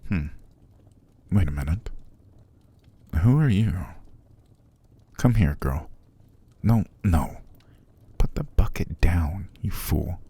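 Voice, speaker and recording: A man playing a cruel, haughty, possessive vampire master in an ASMR roleplay, with a gravelly, breathy voice and a condescending, commanding manner. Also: deep voice, expressive, dark tone, full character voice. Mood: irritated, disdainful. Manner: slow, condescending, commanding, drifting into whispers. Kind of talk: ASMR roleplay, scripted character monologue.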